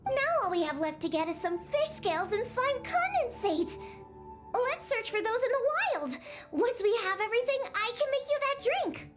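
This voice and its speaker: A woman's voice: very high voice, expressive, moderate pace. A woman voicing a grumpy young catgirl bartender in a video game, huffy, haughty and sulky. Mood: businesslike. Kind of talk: animated game voice acting. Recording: music behind the voice.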